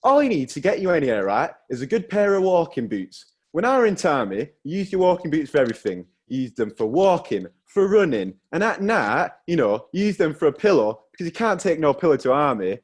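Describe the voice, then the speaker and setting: A man doing a gruff old Yorkshire granddad. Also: a little high, big pitch swings, a little fast, few pauses. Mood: scolding. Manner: blunt, scolding, folksy.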